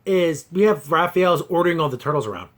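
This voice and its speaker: A high-pitched male voice in a casual review chat. He recaps as a podcast host would, with an exasperated, mocking edge. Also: lively intonation, fast, fairly bright tone. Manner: exasperated, scornful.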